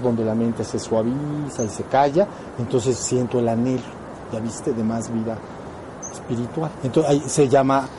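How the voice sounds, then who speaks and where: A man's voice: medium pitch, background noise. A man with a Mexican accent giving an informal spiritual lesson, explaining things and often checking that his students follow. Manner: explanatory, often checks understanding with short questions. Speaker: spiritual teacher.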